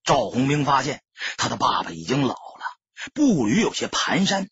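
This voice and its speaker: A man narrating an audiobook in a dramatic storytelling style, with a husky, raspy voice. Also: mid-range voice, big pitch swings, normal speed, fairly bright tone, no echo, lo-fi sound.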